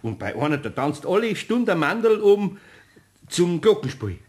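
An older, down-to-earth Bavarian craftsman in a children's radio play. He is patient and kindly but grumbles, muttering little interjections. His pitch sits a bit high and moves around a lot. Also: big pitch swings, normal speed. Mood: patient, amused.

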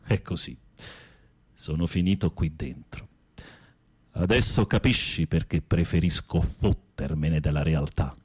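Husky, low-voiced theatrical prisoner. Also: male voice, darkish tone, heavily acted. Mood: bitter confession. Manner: theatrical, sardonic, with drawn-out musing. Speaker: radio drama actor. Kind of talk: Italian radio theatre acting.

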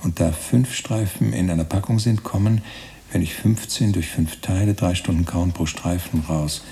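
A man in a radio play playing a pedantic writer who explains absurd sums in a deliberate way. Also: low voice, vocal fry, dark tone. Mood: pedantic, earnest. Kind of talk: German radio play monologue.

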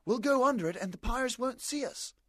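A man's voice: very high-pitched, animated, a little fast, fairly bright tone, no room sound, clean studio sound, voice pushed up, heavily acted. A man voicing a brave young boy in an audiobook, speaking urgently and pleadingly.

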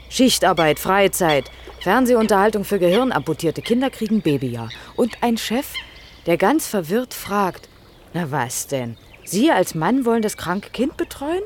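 A woman's voice: medium pitch, a little mellow, a little hiss or hum. An actress playing a cheeky, outspoken young woman in her early twenties, teasing and ironic, in a naturalistic German radio drama. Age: young adult. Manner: teasing, ironic, quick-witted.

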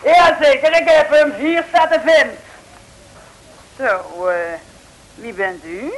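An actress from the Netherlands playing a plucky, cheeky young detective's assistant who complains pertly in a vintage Dutch comic radio serial. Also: female voice, sits high, takes it slowly, poor audio. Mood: curious. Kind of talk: 1950s-60s comic radio serial acting. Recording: vintage radio recording with hiss.

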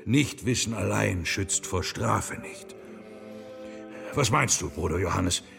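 An actor playing a steadfast, probing monk and scholar in a German radio play. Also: male voice, fairly low pitch, lively intonation, slow, vocal fry, over music, full character voice. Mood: stern. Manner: probing, self-assured, unyielding.

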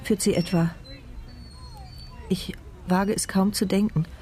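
A woman's voice: medium pitch, fairly even intonation, takes it slowly, dark tone, close to an everyday voice. An actress playing a mute, paralysed woman who narrates her anxious thoughts in an intimate, intense radio drama monologue. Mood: alarmed.

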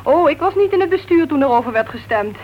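An actress playing a blunt, teasing crime novelist in a radio play. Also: female voice, high voice, animated, quick pace. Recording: dull, faintly noisy vintage radio recording.

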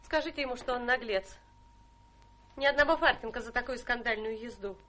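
Actress as a high, bright young governess. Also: female voice, a little fast, close to an everyday voice. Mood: indignant. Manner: imperious, crisp, turning sweetly polite. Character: young, haughty, ambitious governess. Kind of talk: theatrical radio play acting.

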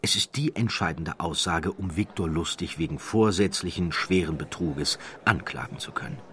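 A man narrating an audiobook story from a script in a measured, emphatic way, with a husky voice and deliberate pauses. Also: low-pitched, sharp tone, a little hiss or hum. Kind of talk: scripted dramatic narration of a true crime story.

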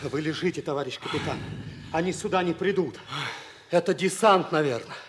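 An actor playing a loyal, respectful young soldier in a 1950s Soviet theatrical radio drama. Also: male voice, fairly high pitch, normal speed, lightly acted. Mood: anxious concern. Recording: noisy old radio play recording.

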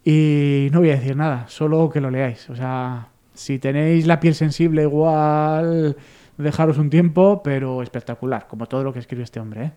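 A man with a smooth, mellow and fairly high voice, co-hosting a radio show. He recommends books eagerly and pauses often to find his words. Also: fairly even intonation. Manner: enthusiastic, halting with fillers.

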